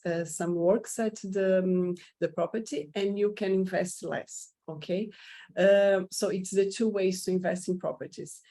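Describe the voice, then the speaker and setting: A woman's voice: medium pitch, mellow. Portuguese-accented woman, hesitant explainer. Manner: hesitant, many uh fillers, non-native phrasing. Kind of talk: informational talk.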